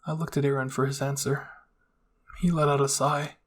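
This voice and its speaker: A man's voice: mid-range voice, little pitch movement, normal speed. A man narrating a first-person fan fiction story as an ASMR roleplay.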